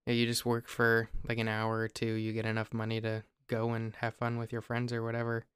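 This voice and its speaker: Smooth-voiced young man from Ohio. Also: medium pitch, brisk, no room sound, clean studio sound. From Ohio, USA. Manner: relaxed, explains step by step with small restarts. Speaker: podcast host. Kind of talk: casual explanatory chat.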